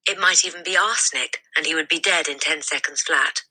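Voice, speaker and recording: A woman narrates a children's story with expression. Her voice is low for a woman and rough-edged, yet strikingly bright and forceful. She reads as a storyteller. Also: fairly quick. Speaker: storybook reader. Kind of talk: expressive scripted read-aloud of a children's story.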